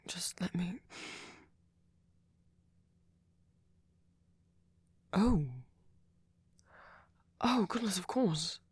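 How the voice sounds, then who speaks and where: A man playing a tender, fretful vampire boyfriend in an ASMR roleplay, speaking softly and hesitantly, often close to a whisper. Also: high voice, slow pace, dry recording, full character voice. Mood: worried, tender. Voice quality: breathy, often near whisper. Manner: soft-spoken, hesitant, stammering, tender. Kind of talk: ASMR roleplay audio drama.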